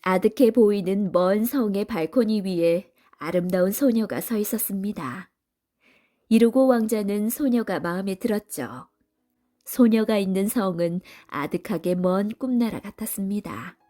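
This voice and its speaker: A woman's voice: mid-range voice, lively intonation, measured pace, warm tone. A woman storyteller giving scripted storybook narration for children.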